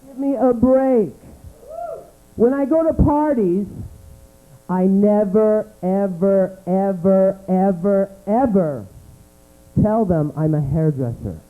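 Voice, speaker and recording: Stand-up comic, male, high voice, slow and campy, tinny club recording with hum. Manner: campy, dramatic delivery with sudden swoops into low voice. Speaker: stand-up comedian. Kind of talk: stand-up comedy set. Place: small comedy club.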